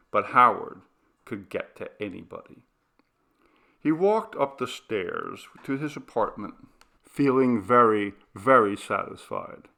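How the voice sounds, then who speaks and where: A man reading a horror story aloud as narrator, in a deliberate, dramatic audiobook style. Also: medium pitch, vocal fry, bright, forceful tone.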